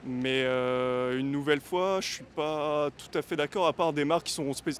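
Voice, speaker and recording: A man's voice: fairly high pitch, steady tone, normal speed. A man in his early twenties answering casually in a street interview. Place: outdoors on a city street. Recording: faint urban street noise.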